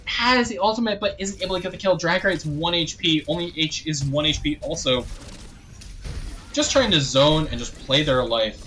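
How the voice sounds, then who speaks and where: A young male esports caster calls the action play-by-play with a bright, slightly high voice. He sounds a little hoarse and clears his throat often. A faint ambient hum sits under the recording. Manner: rapid play-by-play, rising excitement on big plays, frequent throat clearing. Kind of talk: live play-by-play commentary.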